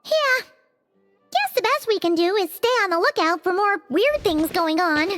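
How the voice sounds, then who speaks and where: A tiny fairy-like companion girl with a very high, squeaky voice that leaps all over. She is chirpy, quick and nosy. The game audio has soft music playing underneath. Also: animated. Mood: puzzled. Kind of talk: video game dialogue.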